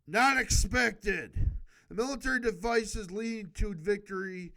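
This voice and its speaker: Slow, high-pitched man reading aloud. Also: creaky, bright, forceful tone, poor audio. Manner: stumbles over words and corrects himself; emphatic, exhorting. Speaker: amateur video host reading a book aloud. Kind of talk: halting read-aloud with improvised casual commentary.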